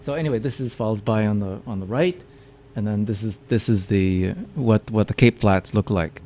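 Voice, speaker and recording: A man's voice: fairly low pitch, moderate pace, mellow. A man giving a public lecture with slides, often stopping to repeat his words as he explains. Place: meeting room with audience.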